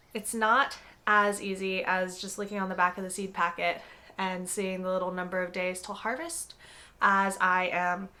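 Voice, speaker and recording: A woman's voice: mid-range voice, pushed and bright. Slightly congested young woman, chatty vlog. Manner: chatty, frequent "um" and "like", conversational. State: congested from a cold. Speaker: gardening vlogger. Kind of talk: casual explanatory vlog. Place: indoors, with some segments recorded outdoors in a garden.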